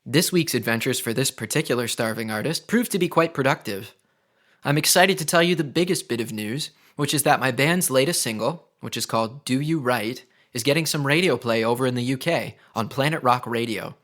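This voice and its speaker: A man hosts his own podcast segment as a musician. His voice sits at a medium pitch. He talks in the first person, as in a personal update. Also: a little fast, fairly bright tone.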